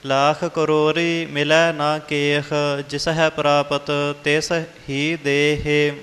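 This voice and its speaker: A man reciting scripture in a slow, measured chant. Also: on the high side, completely flat delivery, a bit bright, clean tone, in a large hall, faint music behind.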